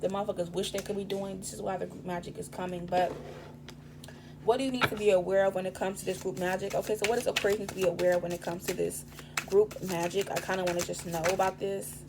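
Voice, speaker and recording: A woman giving a casual tarot reading, rambling and profane with streetwise slang, her voice raspy this morning. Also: medium pitch, level tone, fast talker, noisy background. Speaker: tarot reader.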